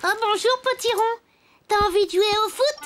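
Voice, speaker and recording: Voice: very high-pitched, moderate pace, background noise. A performer voicing a cheerful, eager young wooden puppet boy in a children's cartoon, exclaiming earnestly in a childlike way. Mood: chatty, playful. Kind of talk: animated children's cartoon dialogue.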